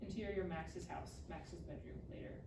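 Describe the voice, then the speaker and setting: Female stage-direction reader for a live script reading, lower voice, distant from the mic. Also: fairly even intonation, normal speed. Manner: reads out clipped scene directions. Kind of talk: scripted narration at live table read. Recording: echoey room, distant mic, background noise.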